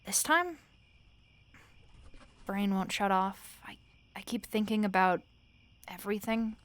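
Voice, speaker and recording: A woman playing a tired, wry, sleepless roommate in a soft-spoken audio roleplay, talking casually and haltingly with fond, dry teasing. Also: medium pitch, lively intonation. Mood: weary, subdued. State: sleepy, weary.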